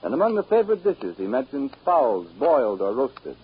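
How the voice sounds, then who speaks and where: A man's voice: mid-range voice, wildly swooping pitch, moderate pace, breathy edge. A man reading a scripted 1930s radio advertisement as a formal, emphatic announcer. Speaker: announcer reading sponsor's message. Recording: muffled 1930s radio recording.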